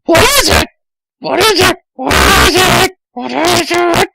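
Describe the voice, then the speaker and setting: A man voicing an excitable, easily shocked young female cartoon character in an exaggerated shrill falsetto. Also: takes it slowly, vocal fry, no echo, heavily acted. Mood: dramatic. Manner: exaggerated, dramatic.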